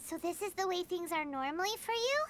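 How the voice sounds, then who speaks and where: Tiny fairy girl, squeaky, childlike, sing-song, game music under it. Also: expressive, brisk. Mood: eager. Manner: high, bouncy, childlike, talks about herself in the third person. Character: small chirpy female fairy companion. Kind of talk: video game dialogue.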